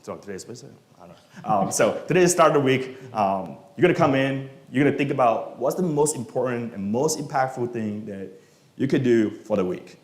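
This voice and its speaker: A man from Malaysia, a software engineer, answering questions at a meetup in a relaxed, casual way with many fillers, often trailing off quietly. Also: mid-range voice, brisk. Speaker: guest speaker, software engineer. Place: meetup event room. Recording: echoey room.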